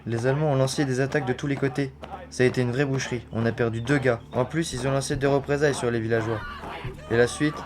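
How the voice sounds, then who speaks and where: A man's voice: mid-range voice, fairly even intonation, quick pace, natural voice. Blunt Resistance fighter, acted by a man. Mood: shaken. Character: rough-talking male Resistance fighter, shaken by slaughter. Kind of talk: French audio drama acting.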